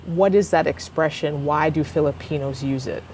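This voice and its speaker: Woman english teacher hosting, low voice, clear and encouraging, faint hiss. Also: moderate pace. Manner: encouraging, warm backchannels, clear teacherly delivery. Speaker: English teacher and interview host. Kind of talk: interview and advice to viewers. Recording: faint background noise.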